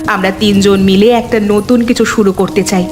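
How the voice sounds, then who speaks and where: A woman playing a resolute, sorrowful and protective eldest daughter-in-law in a cartoon drama, speaking gravely and reassuringly. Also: mid-range voice, steady tone, normal speed, natural voice. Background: music.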